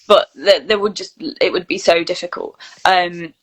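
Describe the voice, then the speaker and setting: A woman's voice: lowish voice, moderate pace, a bit bright, rough recording. Chatty woman in casual conversation. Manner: informal, candid, chatty. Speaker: interview guest, actor and voice reel studio founder.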